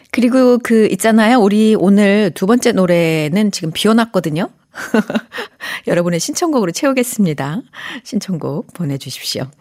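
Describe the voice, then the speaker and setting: A woman hosting a morning radio show, chatting gently with listeners, reading their messages and sometimes dropping to a whisper. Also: mid-range voice, expressive, unhurried. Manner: soft, gentle and chatty, often drops to a whisper and laughs lightly. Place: radio studio.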